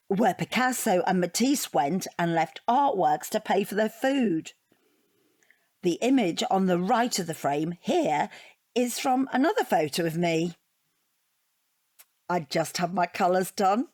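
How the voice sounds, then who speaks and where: A woman's voice: lowish voice, darkish tone, no room sound, studio quality. An actress playing a rambling, earnest, self-deprecating divorced woman in a naturalistic audio drama. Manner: rambling, earnest, easily flustered. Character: chatty, self-deprecating divorced woman taking an art appreciation class.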